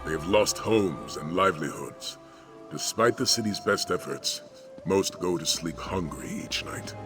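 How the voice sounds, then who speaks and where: Deep, solemn noble warrior. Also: male voice, animated, over loud music. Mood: grave, resolute. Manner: formal, measured, earnest. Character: solemn, noble male warrior mentor, courteous and grave. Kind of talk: fantasy video game dialogue.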